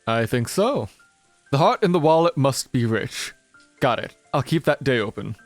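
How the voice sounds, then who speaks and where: A man playing a smooth, cocky middle-aged businessman with a breezy, confident way of talking. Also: mid-range voice, sing-song, normal speed, no echo, faint music behind. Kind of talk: fan livedub of a visual novel.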